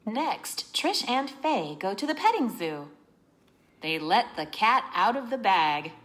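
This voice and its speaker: A woman reading a children's book aloud in an expressive, teacherly way, explaining as she narrates. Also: medium pitch, slow.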